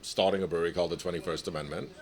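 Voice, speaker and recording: A man from Nigeria with a very deep, low voice talks through his career as a chef. He speaks in a measured, reflective way and drops in a light laugh now and then. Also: steady tone, darkish tone. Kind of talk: casual interview.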